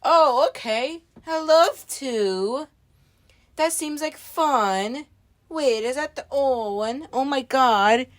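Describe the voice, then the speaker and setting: A woman voicing an excitable, gushing young fan in amateur improvised character dialogue. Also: high-pitched, slow, voice pushed up. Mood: thrilled. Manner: gushing, eager.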